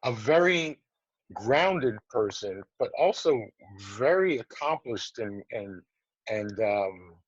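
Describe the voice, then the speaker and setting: A man hosting a casual interview over a video call, relaxed and hesitant, with many pauses and restarts. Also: mid-range voice, breathy edge, dry recording. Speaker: interview host, film festival producer. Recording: dull, dry video-call audio.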